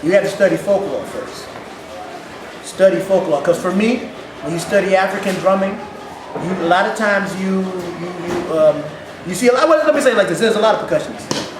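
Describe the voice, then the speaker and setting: Ghana-born man with a St. Louis accent, lively interview guest, high-ish voice, echoey noisy room. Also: brisk. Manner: animated, laughing, repeats words. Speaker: percussionist guest. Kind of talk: casual interview. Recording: echoey room with loud background noise.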